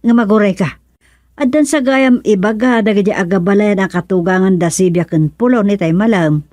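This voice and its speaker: An actress playing a chatty, teasing wife in a comic radio serial. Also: female voice, mid-range voice, normal speed, warm tone, no room sound, lightly acted. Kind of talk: comic radio drama acting.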